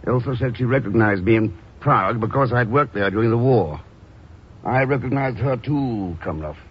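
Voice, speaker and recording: Actor as husky, unflappable radio secret agent. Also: male voice, medium pitch, moderate pace, natural voice. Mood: cool, assured. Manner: calm, dry, sardonic. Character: unflappable, dryly sardonic male espionage agent hero. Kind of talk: 1950s radio drama acting. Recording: muffled old radio recording.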